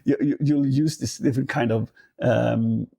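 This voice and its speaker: A man with a Swedish accent and a gravelly, dark, mellow voice, talking loosely in a relaxed podcast chat. He stops and restarts often, hunting for the right English word, and then carries on. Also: medium pitch. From Sweden. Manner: halting, searching for words, many restarts. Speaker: podcast guest. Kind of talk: casual podcast conversation.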